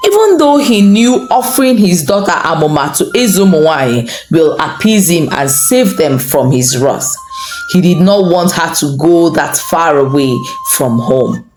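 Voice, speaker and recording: A Nigerian woman narrates a scripted folktale with dramatic expression. Her voice is on the low side and swings widely in pitch as she takes her time over each phrase. Soft music plays behind her. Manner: measured, expressive. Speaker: storyteller. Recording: roomy echo under light music.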